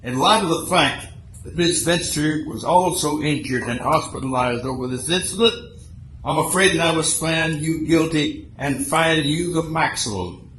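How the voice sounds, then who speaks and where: An actor playing a stern, formal judge who lectures in a measured way in a radio play. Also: male voice, on the high side, lightly acted. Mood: stern, admonishing. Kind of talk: audio theater acting in old-time radio manner. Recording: echoey stage recording, faint noise.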